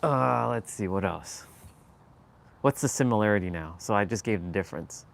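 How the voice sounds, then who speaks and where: A man from Canada chats loosely with a viewer on his live stream. His voice sits fairly low, and he rambles and hunts for words. He laughs often. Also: lively intonation, normal speed, creaky. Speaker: livestream host. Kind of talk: casual live-stream chat.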